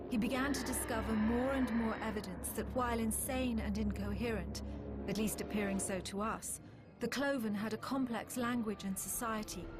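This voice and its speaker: A woman playing a grave war chronicler, narrating game cutscenes in a measured, formal, documentary-like way. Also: on the high side, steady tone, close to an everyday voice. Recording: music bed behind narration.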